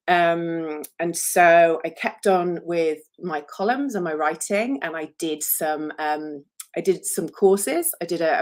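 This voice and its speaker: Woman, 40s, English accent, lowish voice, chatty, self-deprecating humor, frequent ums, webcam mic. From England. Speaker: author. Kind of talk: casual solo livestream talk.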